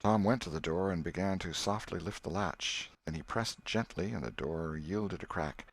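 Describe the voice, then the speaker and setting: A low-voiced American man reads literary narration with a noticeably creaky, gravelly edge. He tells the story in a measured way, and the recording is dry and close, without room sound. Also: no echo. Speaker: audiobook narrator.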